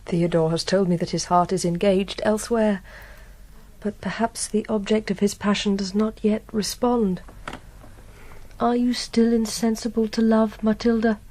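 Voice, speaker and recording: A woman's voice: mid-range voice, steady tone, normal speed, a little mellow, close to an everyday voice. A young woman playing a proud, passionate noble maiden in a Gothic radio drama, declamatory and emphatic. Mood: guarded. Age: young adult.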